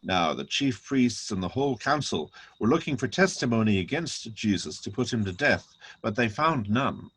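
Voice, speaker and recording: A man's voice: mid-range voice, big pitch swings, normal speed, hardly any pauses, a bit bright, slightly rough. A man narrating a dramatic reading of scripture.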